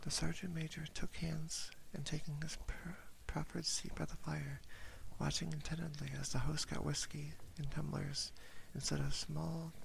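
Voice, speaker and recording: Male ASMR storyteller: close-miked, breathy half-whisper, strong vocal fry, subdued intonation. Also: fairly high pitch, level tone, normal speed, hardly any pauses, a little hiss or hum, rough recording. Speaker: ASMR streamer reading a story aloud. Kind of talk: ASMR reading of a classic horror short story. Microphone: binaural ASMR microphone, very close.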